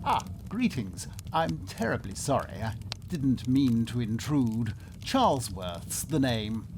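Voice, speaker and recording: A man plays a pompous Victorian gentleman in old-style radio theatre. His mid-pitched delivery is melodramatic, well-mannered and very lively, with clear background ambience noise. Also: takes it slowly, heavily acted. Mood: polite, genial. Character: lost Victorian nobleman, melodramatic, polite and excitable. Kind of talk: melodramatic first-person radio theatre narration and acting. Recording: noisy ambience behind the voice.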